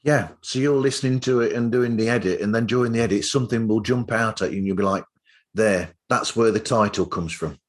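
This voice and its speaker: A man's voice: on the low side, a little fast. A man hosting a podcast, asking casual, rambling questions in a tentative way. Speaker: podcast host, interviewer. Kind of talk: casual interview chat.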